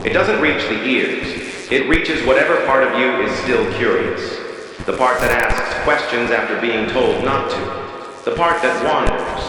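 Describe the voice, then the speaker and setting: A man playing a solemn, measured official announcer reading an ominous emergency broadcast for an analog horror piece. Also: medium pitch, bright, forceful tone. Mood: grave warning. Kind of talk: scripted analog horror public safety announcement. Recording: cavernous echo and reverb, noisy tape.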